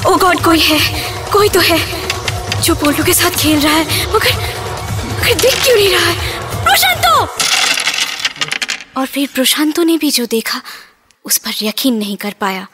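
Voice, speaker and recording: A woman's voice: very high-pitched, big pitch swings, measured pace, long pauses, over music, full character voice. A woman playing an anxious mother in an audio horror drama, telling her story in a theatrical, alarmed way. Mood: panicked. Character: anxious Bengali mother recounting a haunting.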